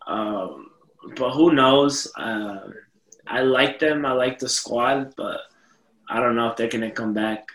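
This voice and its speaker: A man co-hosting a casual sports podcast, relaxed and hesitant, with many fillers. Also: medium pitch, takes it slowly, reverberant, low-quality recording. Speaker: podcast co-host.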